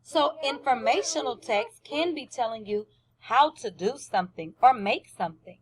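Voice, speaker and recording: A woman's voice: fairly high pitch, lively intonation, moderate pace, slightly rough. A woman teacher giving a lesson to young children, explaining simply, repeating points and asking questions. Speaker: first-grade teacher.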